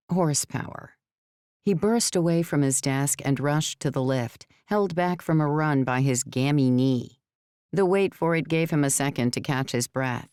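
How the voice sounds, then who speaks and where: Very low, smooth-voiced woman narrator. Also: warm tone, dry recording, studio quality. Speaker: audiobook narrator. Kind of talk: scripted novel narration.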